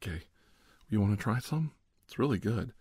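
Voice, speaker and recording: A man in his forties performing a comedic roleplay monologue to camera in a halting, defensive, conspiratorial manner. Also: on the low side, lively intonation, mellow, slightly rough, no echo. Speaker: video host.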